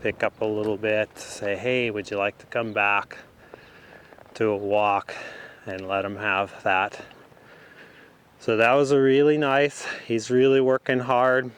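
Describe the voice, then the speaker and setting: Male horse trainer, unhurried mid-pitched delivery, short instructional phrases while riding, faint outdoor noise behind. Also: flat delivery. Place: outdoors in an open riding arena.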